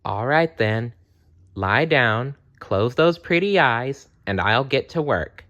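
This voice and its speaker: A gentle, friendly bear from a bedtime storybook, played by a man. He is reassuring and folksy, with a very varied melody to his speech. The sound is slightly muffled. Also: medium pitch, animated, slow pace, pitched above the performer's own voice.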